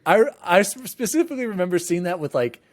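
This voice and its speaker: A man hosting a casual gaming podcast, talking in an informal, animated, rambling way. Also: high-pitched, fast. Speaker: podcast host.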